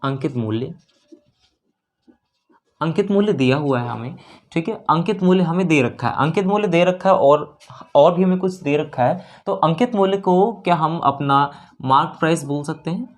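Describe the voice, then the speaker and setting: A man's voice: a little high, lively intonation, normal speed. A man teaching an exam-prep lesson step by step, repeating phrases and mixing in English terms. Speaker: maths teacher.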